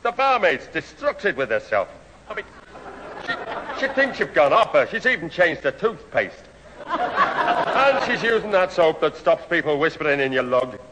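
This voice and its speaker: An actor playing a jovial, pretentious pub crony who mangles big words in an old radio sitcom. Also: male voice, on the high side, big pitch swings, normal speed, long pauses, over a noisy crowd. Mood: coaxing. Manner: jovial, malapropism-prone. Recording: dull, noisy old radio recording, echoey hall.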